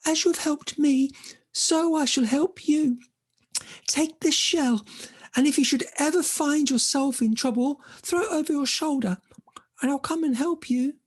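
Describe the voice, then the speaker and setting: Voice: high voice, a little slow, voice pushed up. A storyteller voicing a grateful, solemn river fish from a fairy tale, with a gurgly voice.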